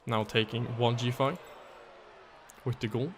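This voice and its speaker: A man casting a live video game match, his voice raspy and hoarse, thinking aloud through the plays. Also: mid-range voice, steady tone, a little slow, slight background noise. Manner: analytical, thinking aloud, thanks viewers. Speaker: game caster.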